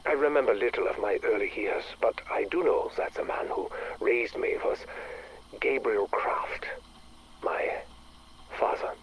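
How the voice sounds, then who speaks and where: An actor playing an obsessed, brooding scientist with a husky voice, speaking formally and tersely in dramatic video game audio logs. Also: male voice, lowish voice, unhurried, slight background noise, natural voice, rough recording. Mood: reflective.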